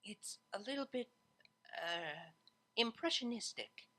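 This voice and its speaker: A narrator voicing a tactful pony art teacher in an audiobook, speaking carefully and quietly with gentle hesitation. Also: mid-range voice, expressive, takes it slowly, voice pushed up, heavily acted. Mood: hesitant. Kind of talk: audiobook character voice.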